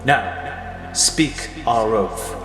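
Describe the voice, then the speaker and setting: A man puts on the voice of a solemn machine, an alien power ring. It speaks slowly and formally in a superhero audio drama, over a music bed. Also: on the low side, echoey room, big-room sound, voice pushed down. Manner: slow, measured, formal.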